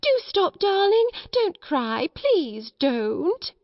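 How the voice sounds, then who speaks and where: A woman's voice: extremely high pitch, sing-song, extremely slow, dry recording, lo-fi sound, voice pushed up, heavily acted. A woman voicing a flustered plump woman in a storybook, exclaiming as she helplessly coaxes and scolds. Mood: pleading. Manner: exclaiming, swinging between coaxing and threats.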